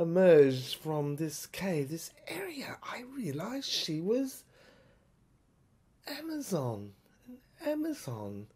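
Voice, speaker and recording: Husky, slow-paced woman storyteller. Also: fairly low pitch, a little mellow. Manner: halting, repeating phrases for dramatic effect. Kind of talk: dramatic first-person audio story narration.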